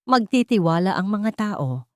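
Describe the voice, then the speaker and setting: A woman narrator voicing a determined mother in a drama story, calm, earnest and firm. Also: medium pitch, unhurried, no room sound, voice pushed up. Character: determined young mother, gentle but resolute.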